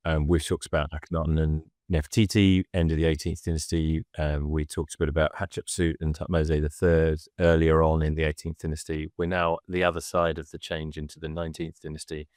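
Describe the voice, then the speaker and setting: A man co-hosting a podcast and explaining history in casual chat, measured and hesitant with many ums. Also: a bass voice, little pitch movement, a little mellow, no room sound, clean studio sound.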